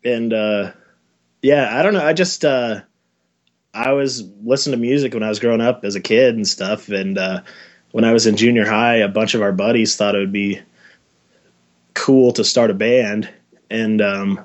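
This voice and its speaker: American guy from wyoming, lowish voice, band dude chatting in an interview, slangy n halting. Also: steady tone, highs cut off. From Wyoming, United States. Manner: laid-back, slangy, halting. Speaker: band musician being interviewed. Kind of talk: casual chat.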